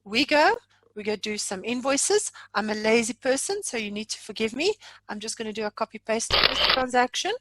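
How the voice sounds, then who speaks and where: High-voiced South African woman, chatty trainer. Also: animated, a little fast, fairly bright tone, no room sound, poor audio. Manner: chatty, jokey, self-deprecating. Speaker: software trainer. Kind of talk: informal software demonstration.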